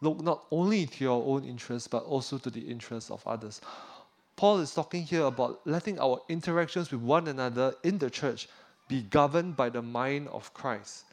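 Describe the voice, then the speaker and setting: A man from Singapore, preaching a sermon as a pastor, earnestly tagging "right?" onto points and dropping low and quiet on weighty lines. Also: moderate pace. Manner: earnest preaching, often adds "right?" to check in with listeners; drops pitch and volume on weighty points.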